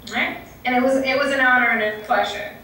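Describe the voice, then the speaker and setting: A woman from Puerto Rico with a thick Puerto Rican Spanish accent, explaining a training course at a conference in an earnest, halting way with many fillers. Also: high voice, fairly even intonation, bright, forceful tone, slight background noise. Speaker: presenter. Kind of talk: conference presentation. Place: conference room. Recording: echoey room, distant mic.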